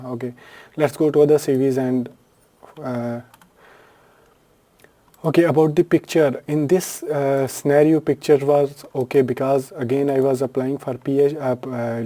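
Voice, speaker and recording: A man's voice: medium pitch, dark tone. A man from Pakistan whose first language is Urdu, talking through a screen-share tutorial in a loose, unscripted way with frequent fillers and self-corrections. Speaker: tutorial host.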